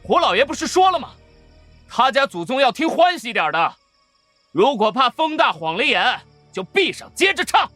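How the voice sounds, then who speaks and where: A man's voice: very high-pitched, very animated, normal speed, pushed and bright, no room sound, soft background music, full character voice. An actor playing a bold young male cultivator in an audio drama, commanding, taunting and defiant. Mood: mocking, confident.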